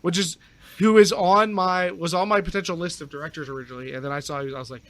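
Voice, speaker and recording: Guy guesting on a podcast, kinda high voice, casual and opinionated, really emphatic. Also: fairly even intonation, fast, a bit bright, no echo. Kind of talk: casual chat.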